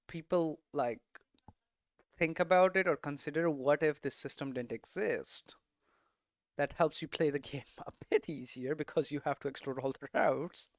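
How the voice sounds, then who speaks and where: A man's voice: fairly high pitch, no echo, clean studio sound. A man giving a casual, unscripted tutorial as a game developer, thinking aloud and often checking in with rhetorical questions. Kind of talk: casual, unscripted explanatory talk.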